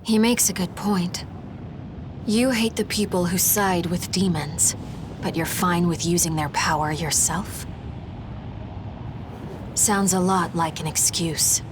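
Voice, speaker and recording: A blunt young woman in a video game, her voice on the low side. She is direct and challenging, with a clear rumbling noise behind her. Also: steady tone, slow, long pauses. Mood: accusing. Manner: direct, accusing.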